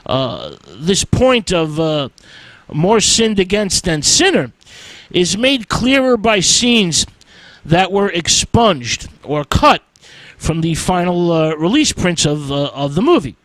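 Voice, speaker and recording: Halting radio host man, lively pitch. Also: no room sound, rough recording. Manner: frequent "uh" fillers, halting delivery. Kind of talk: radio film-history talk.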